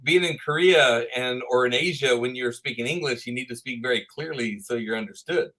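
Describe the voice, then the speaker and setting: Bright-voiced Texan man telling stories. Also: mid-range voice, a little fast, poor audio. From Texas. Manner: animated, laughs while telling stories. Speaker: podcast guest. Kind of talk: storytelling interview chat.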